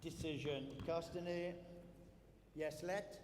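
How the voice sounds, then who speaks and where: A man's voice: a little high, fairly even intonation, takes it slowly, a crowd in the background. A male squash referee giving clipped, official calls in an echoey, noisy court hall. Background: squash court noise.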